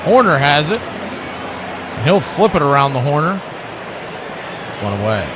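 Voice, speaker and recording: A high-voiced man hosts a baseball broadcast with play-by-play and folksy asides. He leans on old-time catchphrases like a showman. The audio carries clear noise. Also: very animated, unhurried. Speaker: baseball play-by-play radio announcer and stream host. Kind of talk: baseball play-by-play commentary with chatty asides to viewers.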